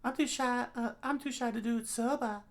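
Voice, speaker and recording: A man's voice: extremely high pitch, steady tone, brisk, pitched above the performer's own voice. A man voicing a playful, boozy young woman with a breezy, coy, teasing manner in a story read aloud.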